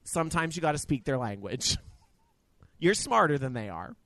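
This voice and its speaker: A man co-hosting a casual podcast, telling profane, animated stories. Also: a little high, moderate pace, dry recording. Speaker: podcast co-host. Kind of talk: casual chat.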